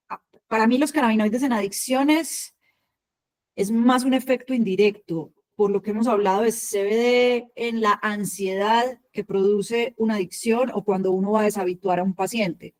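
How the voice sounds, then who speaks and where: A woman's voice: medium pitch, fairly even intonation, measured pace, a little mellow. A woman moderating an online panel over a video call, speaking in a friendly, affectionate way with diminutives for colleagues.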